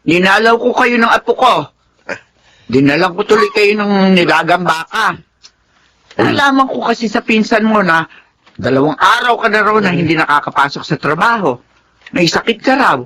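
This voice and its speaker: A woman's voice: mid-range voice, animated, normal speed, a bit bright. An actress playing an older Filipino mother and grandmother in a radio drama, nagging and emphatic out of concern for her grown son.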